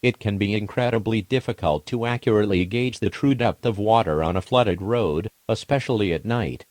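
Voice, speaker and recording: Casting: man playing impersonal emergency broadcast announcer, lowish voice, dry close sound, measured formal reading. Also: a little mellow, no echo, close to an everyday voice.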